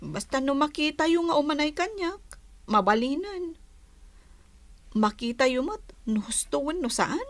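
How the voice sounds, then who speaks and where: An actress with a Filipino accent playing a reluctant, petulant young bride-to-be in a radio drama. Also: female voice, high voice, sing-song, moderate pace, pauses often, no echo. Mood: sullen, exasperated. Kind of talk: Ilocano radio drama acting.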